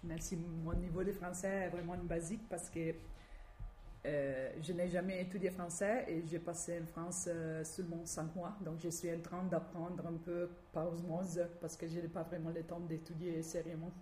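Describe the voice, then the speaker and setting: A woman from Italy speaking with a strong Italian accent, giving an academic talk and often pausing to search for words. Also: lowish voice, little pitch movement, mellow. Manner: halting, searching for words with many fillers. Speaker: guest lecturer. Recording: echoey room, faint background noise.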